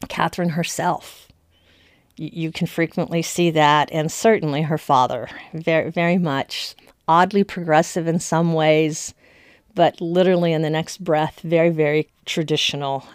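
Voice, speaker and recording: A woman's voice: low-pitched, steady tone, moderate pace, dry recording, studio quality. A woman giving informal history commentary as an expert, with an emphatic, conversational manner.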